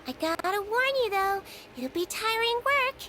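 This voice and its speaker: A small nonhuman nurse from a video game. Her voice is high and gentle, and she gives help in a measured, matter-of-fact way. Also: expressive, a little hiss or hum, full character voice. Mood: calm, advising.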